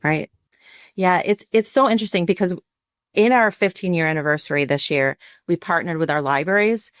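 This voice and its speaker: Low-voiced American woman, dry close sound. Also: fairly quick, clean tone, dry recording. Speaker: podcast guest, library program director. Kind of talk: conversational podcast interview.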